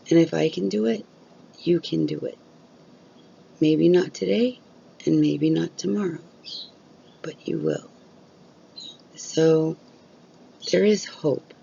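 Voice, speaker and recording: A woman's voice: deep voice, gravelly, mellow, breathy edge, lo-fi sound. A woman vlogging candidly about chronic illness, blunt and open, swearing freely while losing her voice. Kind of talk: candid casual vlog talk.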